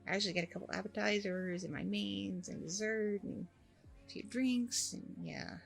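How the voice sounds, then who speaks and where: A woman's voice: medium pitch, creaky. A woman playing a friendly, flustered, flirty single mom on a first date in an ASMR roleplay, chatty, hesitant and gushing. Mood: surprised, pleased. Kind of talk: ASMR roleplay acting, one side of a dialogue.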